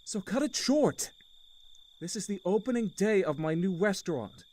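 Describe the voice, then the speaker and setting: A man playing a weary, middle-aged uncle with a failing marriage, earnest and measured, in a visual novel game. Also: high-pitched, expressive, darkish tone, no echo. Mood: frustrated, firm.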